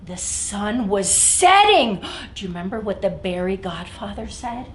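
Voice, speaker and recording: A woman's voice: mid-range voice, big pitch swings, measured pace, a bit bright. A woman hosting a children's story time, reading aloud expressively and speaking directly to young listeners. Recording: echoey room, faint background noise.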